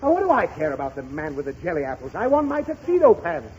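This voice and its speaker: A man's voice: a little high, huge pitch swings, fast talker, a little mellow. A man playing an exasperated, blustering father in a 1930s radio comedy. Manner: irritable, blustering. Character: exasperated, flustered father, a pompous lawyer. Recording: muffled, hissy 1930s radio recording.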